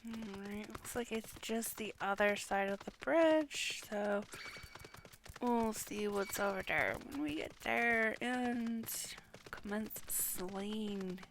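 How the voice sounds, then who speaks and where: A woman's voice: medium pitch, slow pace, a little hiss or hum. A woman giving casual live game commentary, muttering half to herself and sounding slightly under the weather. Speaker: game streamer. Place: indoors, live game stream.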